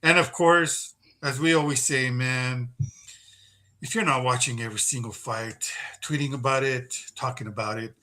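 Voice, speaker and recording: A man's voice: mid-range voice, fairly even intonation, normal speed, pushed and bright. A man co-hosting a fight podcast, running down the weekend's events in a casual, laid-back way, often saying bro. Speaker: podcast co-host.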